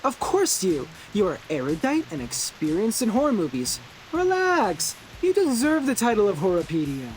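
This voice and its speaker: A man's voice: sits high, wildly swooping pitch, normal speed, faint music behind, poor audio. An actor playing an eccentric, theatrical young man who loves horror, in a video game. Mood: smug, playful. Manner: theatrical, teasing, grandiose. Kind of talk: English video game character acting.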